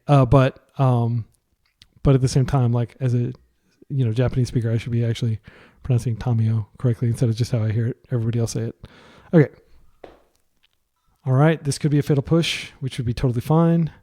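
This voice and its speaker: A man's voice: medium pitch, warm tone. A young man giving casual, thinking-aloud commentary on a card game he is playing. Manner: chatty, thinking aloud with fillers. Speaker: gaming commentator.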